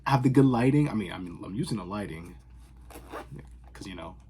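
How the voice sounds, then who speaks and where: A young man rants straight into his phone in his bedroom, talking in a lower register. He is sarcastic and animated, jumping from loud bursts to hushed asides, and a faint hum of room noise sits under his voice. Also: brisk. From Boston area. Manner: animated, sarcastic, jumps between loud outbursts and whispers. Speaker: fragrance vlogger. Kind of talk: casual rambling vlog. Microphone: phone camera.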